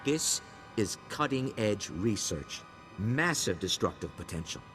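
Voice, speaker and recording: An actor playing a grave, matter-of-fact research scientist in a video game, with reverb on the voice. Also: male voice, on the low side, sing-song, measured pace, fairly bright tone, faint music behind. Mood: grave warning. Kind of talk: dramatic video game voice acting. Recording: long hall-like reverb.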